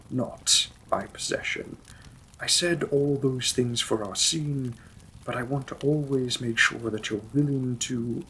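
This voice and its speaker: A man playing a possessive demon king and devoted lover in a romantic fantasy audio roleplay, often dropping his breathy voice to a whisper. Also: medium pitch, a bit bright, slight background noise, rough recording.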